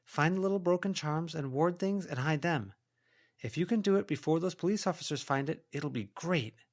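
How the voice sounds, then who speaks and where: A man reads as a young girl witch in a fantasy audiobook, pitched well above a grown man's talk. The character is bossy and eager, coaxing and giving orders with urgency. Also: animated, normal speed. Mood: urgent coaxing.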